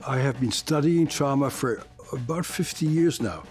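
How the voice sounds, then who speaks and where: An older man, a psychiatrist with a gravelly voice, explaining things in a measured way in an interview, with wry asides. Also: medium pitch, a little mellow, soft background music.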